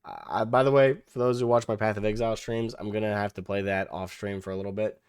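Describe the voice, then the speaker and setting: Young dude w a really deep hoarse voice, dry close mic, rambly reaction chat. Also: male voice, fairly even intonation, quick pace, darkish tone, no echo. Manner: rambling, frequent restarts and fillers, swears. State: hoarse, losing his voice. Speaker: reaction video host. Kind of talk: casual reaction commentary.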